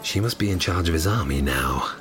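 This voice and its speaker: An actor playing a sly, brooding fallen demon in an audio drama, menacing and sardonic. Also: male voice, deep voice, animated, fairly quick, fairly bright tone, a little hiss or hum. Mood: irritated, sardonic.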